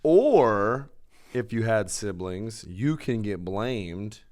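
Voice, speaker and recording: A man's voice: lowish voice, expressive, drawn-out words, darkish tone. A man co-hosting a podcast, chatting casually with teasing, dry interjections. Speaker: podcast co-host. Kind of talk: casual banter.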